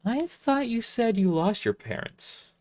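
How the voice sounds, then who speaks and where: Man voicing a gentle, kind young woman healer. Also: sits high, wildly swooping pitch, measured pace, voice pushed up, exaggerated voice. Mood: gentle concern. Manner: gentle, caring. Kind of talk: amateur audio drama character reading.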